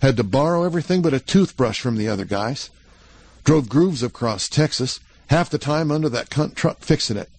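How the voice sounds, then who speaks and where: A man reading an audiobook, playing a restless young cowboy who talks fast, rough and excited, with plenty of swearing. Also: medium pitch, pitched above the performer's own voice. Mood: boastful. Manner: rough rural talk, swearing, quick and excited. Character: restless young male cowboy, eager and boastful.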